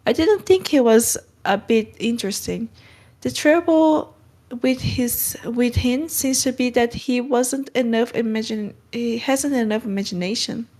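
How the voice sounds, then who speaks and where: A woman's voice: on the high side, unhurried, darkish tone, voice pushed up. A woman reading aloud as an outspoken, imaginative eleven-year-old orphan girl from a storybook, in a chatty, frank way.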